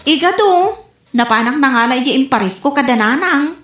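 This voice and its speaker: Woman playing a high, lilting Ilocano daughter. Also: animated, a little fast, over loud music. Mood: defensive. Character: pert, plaintive grown daughter of the household. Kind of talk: Ilocano radio comedy drama acting. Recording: roomy, echoing sound.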